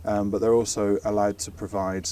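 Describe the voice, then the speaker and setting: Mellow British man, slightly distant mic. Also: fairly low pitch, flat delivery, brisk. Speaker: air traffic controller, interview guest. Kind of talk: informal explanatory interview chat. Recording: distant mic, faint background noise and ambience.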